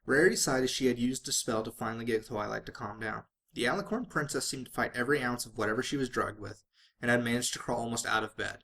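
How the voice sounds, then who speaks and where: A man's voice: medium pitch, fast, creaky, a bit bright. A man reading fan fiction aloud as a dramatic narrator, sometimes stumbling and rereading phrases.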